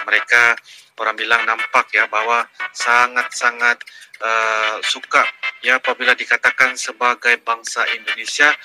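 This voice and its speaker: A man with a Malaysian Malay accent giving chatty reaction commentary, addressing viewers as guys with frequent hesitations. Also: mid-range voice. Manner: chatty, keeps saying "guys", hesitant fillers. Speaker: reaction YouTuber.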